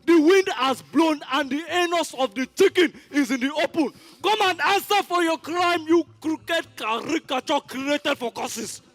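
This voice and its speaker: A man's voice: very high-pitched, animated, measured pace, few pauses, fairly bright tone, in a large hall, full character voice. A man with a Nigerian accent playing a defiant, indignant rival for a throne in a declamatory stage play, grandiloquent and bombastic. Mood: outraged. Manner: grandiloquent, alliterative insults.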